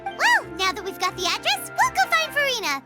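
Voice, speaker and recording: A woman voicing a tiny, cheeky, excitable fairy companion in a video game, with a squeaky childlike voice. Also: fairly quick, heavily acted. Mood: chatty, doubtful. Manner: refers to self in third person, blurts things out. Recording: loud game music behind the voice.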